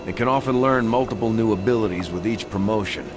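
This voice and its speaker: A man's voice: on the low side, fast talker, loud music behind the voice, lightly acted. A man playing a dutiful military officer in a video game, giving a formal, businesslike briefing. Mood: instructive.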